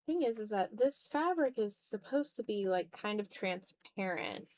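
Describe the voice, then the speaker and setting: A woman's voice: mid-range voice, lively intonation, unhurried, a little mellow. A woman livestreamer chatting casually while drawing, thinking aloud in fragments with a self-deprecating manner. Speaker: art livestreamer.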